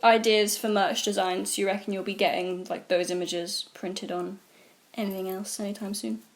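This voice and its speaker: A young woman from Jersey hosts a relaxed interview with a middle-pitched voice. Her talk is loose and chatty, full of "like" fillers. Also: moderate pace. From Jersey, Channel Islands. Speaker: podcast interviewer. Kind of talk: casual interview chat.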